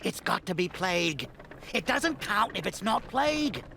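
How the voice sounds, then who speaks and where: An actor playing a callous, scheming leader of looters in a horror audio drama, scornful and domineering. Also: male voice, high-pitched, big pitch swings, moderate pace, creaky, bright, forceful tone, slight background noise, heavily acted. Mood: exasperated. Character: callous scheming looter boss, impatient and bullying.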